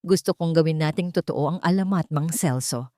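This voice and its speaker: A woman voicing a wronged young wife who is calm, cold and determined, speaking steadily in an audio drama. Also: deep voice, normal speed, no room sound, studio quality, close to an everyday voice. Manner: earnest, steady, unwavering.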